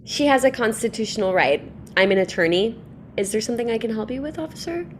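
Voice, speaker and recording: Actress doing cool assertive lady lawyer, highish voice, noisy room behind her. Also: animated, quick pace, close to an everyday voice. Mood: cool, defiant. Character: firm, unflappable woman attorney standing her ground. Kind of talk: live staged play reading.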